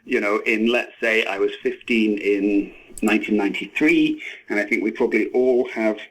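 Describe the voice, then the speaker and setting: Husky, halting man. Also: on the low side, low-quality recording. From Scotland. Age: 40s. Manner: halting, repeats words, hedges with "you know". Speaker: interview guest, novelist and screenwriter. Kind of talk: thoughtful interview conversation.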